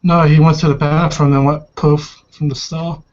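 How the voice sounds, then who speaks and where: A man's voice: on the high side, level tone, normal speed. A man in casual, rambling, informal chat as a player in a tabletop roleplaying game. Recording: dull lo-fi voice recording.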